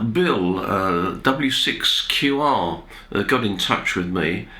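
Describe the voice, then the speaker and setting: A man presenting an informal technical explainer as a video host, speaking in a measured, hesitant way with pauses. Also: fairly low pitch, bright, forceful tone. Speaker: amateur radio video host. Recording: roomy, echoey indoor sound.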